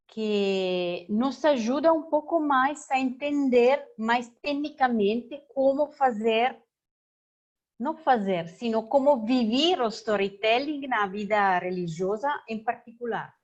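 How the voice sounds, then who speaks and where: A woman moderating an online meeting, introducing the next speaker in a halting way with many restarts. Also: on the high side, dull audio. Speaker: webinar moderator.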